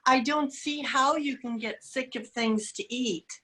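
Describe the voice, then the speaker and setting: A woman's voice: sits high, measured pace, a bit bright, slightly rough, heavily acted. A woman playing a naive, eager young boy in a radio play, chatting with wide-eyed earnestness. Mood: eager, earnest.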